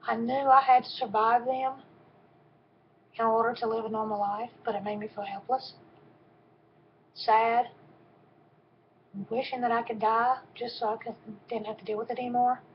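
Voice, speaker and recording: A woman in her fifties with a soft voice, giving a personal testimony in a halting way with frequent pauses and ums. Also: a little high, flat delivery, a little hiss or hum. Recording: dull, lo-fi recording.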